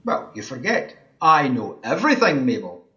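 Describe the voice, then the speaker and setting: A man's voice: medium pitch, very animated, normal speed, noisy background, natural voice. A man with a Scottish accent playing a cheeky, all-knowing magical wish-granter in an amateur radio play, chatty and teasing. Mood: breezy, smug. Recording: roomy, dull home recording.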